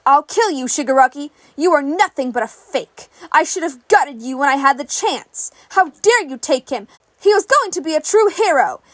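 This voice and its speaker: A woman's voice: high-pitched, animated, normal speed, no echo. A woman voicing a fanatical, vengeful male killer in a story reading. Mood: enraged, menacing.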